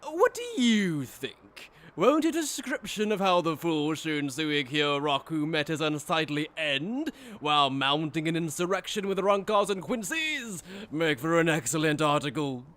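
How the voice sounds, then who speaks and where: A man playing a smug, higher-voiced aristocratic villain. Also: big pitch swings, measured pace, few pauses, a bit bright. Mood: mocking. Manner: pompous, theatrical, sneering formal speech. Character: smug, cruel aristocratic male villain, mocking and condescending. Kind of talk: anime fan dub voice acting.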